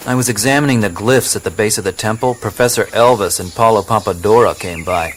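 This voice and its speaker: An actor playing a blunt, skeptical companion in a radio drama, with a sarcastic edge. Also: male voice, fairly low pitch, a little fast, noisy background, close to an everyday voice. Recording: jungle ambience with insects and birds.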